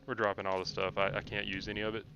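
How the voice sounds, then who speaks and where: A man's voice: fairly low pitch, little pitch movement, very fast, sharp tone, highs cut off, faint music behind. A man giving casual commentary on his own video game playthrough, often muttering asides to himself. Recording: clearly noisy background.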